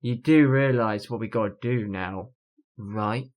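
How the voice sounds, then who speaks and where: A woman's voice: rumbling low, measured pace, a little mellow. A woman playing a crude, menacing, impatient villain in an audio drama, taunting with a swagger. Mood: menacing impatience.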